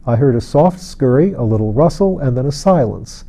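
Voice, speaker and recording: A man reading a fantasy novel aloud as an audiobook narrator, in a measured storytelling manner. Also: mid-range voice, lively intonation, mellow.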